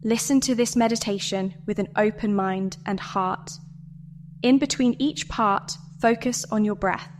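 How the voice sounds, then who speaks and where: A woman delivering a scripted guided meditation and dramatic monologue in a measured, deliberate way with pauses. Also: mid-range voice, a little hiss or hum. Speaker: meditation narrator.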